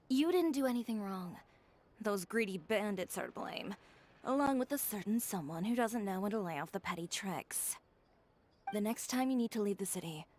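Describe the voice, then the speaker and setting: Blunt, haughty young designer played by an actress, game character, mid-pitched, dry and cool, noisy ambience. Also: female voice, close to an everyday voice. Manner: cool, dry, self-assured. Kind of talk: video game voice acting.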